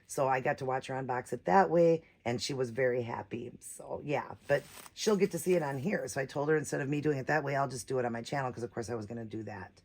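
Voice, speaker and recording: Raspy-voiced woman vlogging an unboxing. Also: a bass voice, quick pace, hardly any pauses, darkish tone. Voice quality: naturally raspy voice. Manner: chatty, rambling, many fillers. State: hoarse from a cold. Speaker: reborn doll channel host. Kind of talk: casual unboxing vlog.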